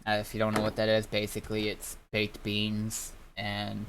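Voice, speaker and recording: A man's voice: on the low side, monotone, normal speed, background noise. A man hosting a livestream, chatting casually in a rambling, informal way with some swearing. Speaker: livestream host. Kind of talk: casual gaming stream chat.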